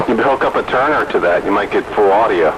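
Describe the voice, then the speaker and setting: A man's voice: on the low side, expressive, quick pace, a loud crowd. A man chatting casually over two-way radio as a CB operator, with a rambling, jokey manner. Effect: two-way radio transmission. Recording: noisy crackly CB radio audio.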